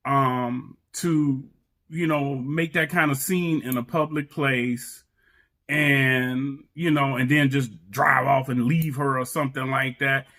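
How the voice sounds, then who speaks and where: A man's voice: medium pitch, sharp tone. A married man co-hosting a casual talk show, speaking emphatically and a bit preachily about marriage, often repeating words as he starts. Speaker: co-host.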